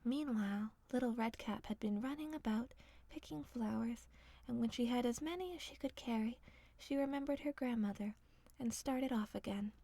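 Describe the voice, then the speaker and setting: A woman reading a fairy tale as soft ASMR narration, hushed and often slipping into a whisper. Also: a little high, moderate pace, no echo. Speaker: storyteller.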